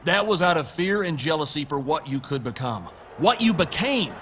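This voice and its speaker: A scornful wrestling heel hosting his own show in a video game. His voice sits high and he hits his words with pompous, deliberate emphasis. Clear noise runs behind him. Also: very animated, fairly bright tone. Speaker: wrestling talk show host. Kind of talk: scripted wrestling promo.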